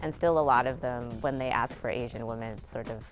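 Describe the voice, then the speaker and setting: Middle-aged woman, low voice, reflective director interview, faint music bed. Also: fairly quick. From Seattle area, USA. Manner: reflective, laughs now and then while talking. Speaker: theater director. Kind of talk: documentary interview.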